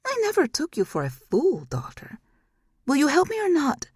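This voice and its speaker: A woman's voice: high voice, wildly swooping pitch, moderate pace, a little mellow, no echo, clean studio sound, voice pushed up. A woman voicing a scheming, mocking demon mother in an audiobook.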